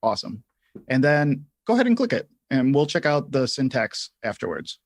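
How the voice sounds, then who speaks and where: Man, medium pitch, dry close sound, tutorial co-host, informal, halting, thinking aloud. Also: big pitch swings, dry recording. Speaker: livestream co-host and software instructor. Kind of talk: casual live tutorial talk.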